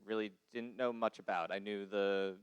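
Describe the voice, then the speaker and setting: Low-voiced guy, slow and hesitant, dry close sound. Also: fairly even intonation, smooth, no echo. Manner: hesitant, lots of ums. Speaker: guest. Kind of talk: casual interview chat.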